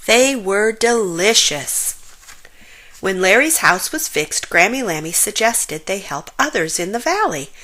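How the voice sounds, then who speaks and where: Female storybook reader, very animated intonation, unhurried pace, expressive emphasis for young listeners. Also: mid-range voice. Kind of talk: children's picture book read-aloud.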